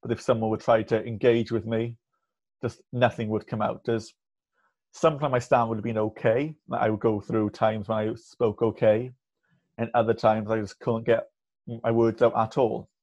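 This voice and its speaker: A man's voice: on the low side, flat delivery, normal speed, darkish tone. A young man in his twenties, a podcast guest speaking candidly with a stammer, blocking on and repeating sounds. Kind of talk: candid conversational interview. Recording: muffled lo-fi audio.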